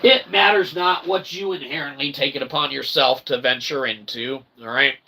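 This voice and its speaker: A man hosting a philosophy monologue, speaking in wordy pseudo-academic phrases with slangy asides and a gravelly, creaky voice. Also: medium pitch, fairly even intonation, moderate pace, sharp tone, slight background noise, low-quality recording. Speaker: host of an independent philosophy show.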